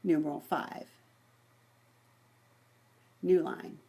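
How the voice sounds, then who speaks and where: A woman with a husky voice presenting an informal software demonstration. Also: sits low, steady tone, drawn-out words. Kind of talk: dictating voice commands to software.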